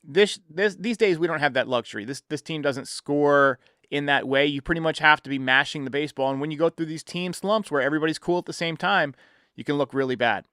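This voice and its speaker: A man's voice: a little high, fairly quick, pushed and bright, smooth, no echo, clean studio sound. A man hosting a sports podcast, giving casual, conversational baseball commentary and explaining his points with rhetorical questions.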